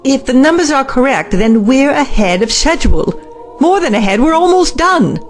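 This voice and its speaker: A woman playing an excited, ambitious female scientist in a science fiction audio drama, formal and articulate. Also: high-pitched, moderate pace, soft background music.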